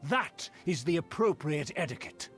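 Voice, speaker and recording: Casting: male actor as a snooty, touchy game nobleman, highish voice, very animated, formal diction, music underneath. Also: normal speed, natural voice. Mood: imperious. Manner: pompous, formal, archaic wording. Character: haughty, pompous, easily offended male nobleman. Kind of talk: video game voice acting.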